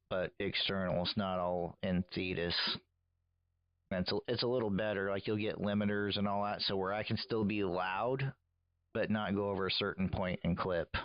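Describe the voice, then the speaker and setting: A man's voice: low-pitched, no room sound, highs cut off. A man giving casual, patient, step-by-step technical coaching to another radio operator.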